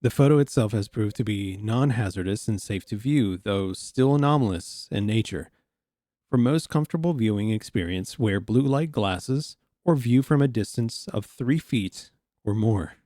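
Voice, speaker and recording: A man's voice: lowish voice, expressive, normal speed, dark tone, dry recording, clean studio sound. A man hosting a reaction video, reading text aloud and adding informal, incredulous asides. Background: music.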